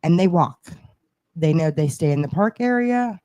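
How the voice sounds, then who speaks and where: A woman's voice: fairly low pitch, expressive, normal speed, warm tone, dry recording. A woman in her forties co-hosting a podcast, chatting casually and earnestly about family traditions. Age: 40s. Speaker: podcast co-host. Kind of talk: casual chat.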